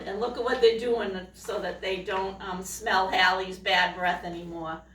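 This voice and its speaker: A woman reading a children's picture book aloud expressively, pausing to put questions to young listeners. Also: mid-range voice, bright, forceful tone, a little hiss or hum. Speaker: storytime reader, teacher. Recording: echoey room, distant microphone.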